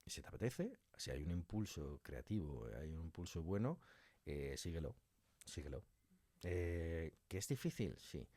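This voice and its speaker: Low-voiced Spanish man, reflective and conversational. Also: very animated, moderate pace, dark tone, no room sound. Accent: Spain. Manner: reflective, thinks aloud with examples and imagined quotes. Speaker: interviewed audio fiction writer and director. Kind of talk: conversational interview answers.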